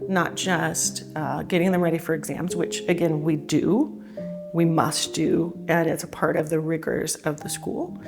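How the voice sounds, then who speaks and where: A woman from California, a teacher, talking in a chatty, relaxed, upbeat way in an interview. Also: low voice. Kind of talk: casual interview talk. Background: soft guitar music.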